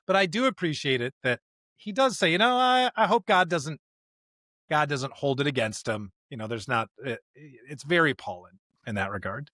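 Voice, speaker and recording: A man co-hosting a podcast, casually discussing scripture in an informal, animated way with everyday slang and playful paraphrase. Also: on the high side, normal speed, dry recording, clean studio sound. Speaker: podcast co-host.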